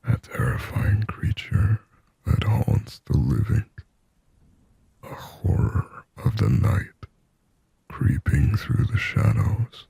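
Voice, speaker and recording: A man playing a lonely, yearning sleep paralysis demon in a slow, breathy, raspy ASMR whisper, close to the microphone. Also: very deep voice, big pitch swings, dark tone, no room sound, heavily acted. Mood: anguished, fearful. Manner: slow, brooding, pleading, poetic. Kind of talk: whispered ASMR roleplay monologue.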